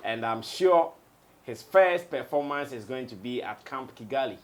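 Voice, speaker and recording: A man's voice: medium pitch, lively intonation, moderate pace. Ghanaian man giving entertainment commentary. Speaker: studio pundit on entertainment show.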